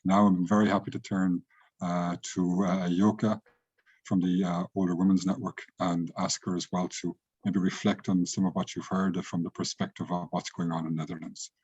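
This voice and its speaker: Deep, husky male moderator on a call. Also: level tone, moderate pace, a little mellow, dry recording. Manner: courteous, with many fillers. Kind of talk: moderating an online panel. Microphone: video call. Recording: dry, dull lo-fi call audio.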